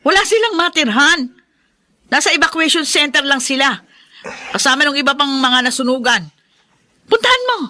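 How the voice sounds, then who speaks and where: An actress playing a callous, sharp-tongued middle-aged mother in a Filipino radio drama, scolding and dismissive. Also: high voice, animated, moderate pace, pauses often. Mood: accusing, irritated. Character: callous, sharp-tongued mother who spurns her children.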